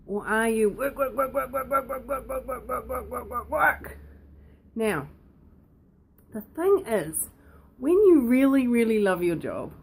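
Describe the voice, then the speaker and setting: A woman with a croaky voice, hosting and talking casually to the viewer, playful and full of questions put straight to them. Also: a little high, expressive, a little slow, slight background noise. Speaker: host. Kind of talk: casual talk to camera.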